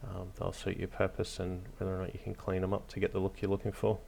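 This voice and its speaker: A man hosting a hobby tutorial, narrating casually off the cuff in a hesitant, low-key, encouraging way. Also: monotone, quick pace, vocal fry, a little mellow, a little hiss or hum. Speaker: hobby craft tutorial host.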